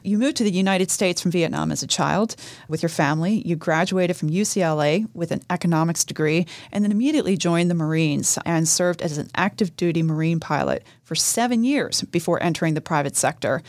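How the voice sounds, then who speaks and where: A woman's voice: on the low side, a little fast, a little mellow, dry recording, studio quality. A woman hosting a podcast, interviewing a guest in a friendly, upbeat way. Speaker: podcast host.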